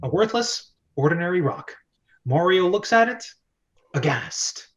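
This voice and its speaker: A man's voice: sits high, expressive, unhurried. A man hosting a script reading and narrating the stage directions.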